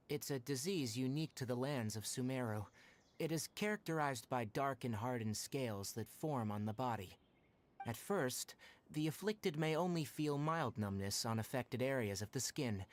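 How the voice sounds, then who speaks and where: A man voicing a composed, frank, protective young forest ranger in an anime video game, speaking in a measured, articulate way. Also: medium pitch, close to an everyday voice. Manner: measured, articulate, matter-of-fact.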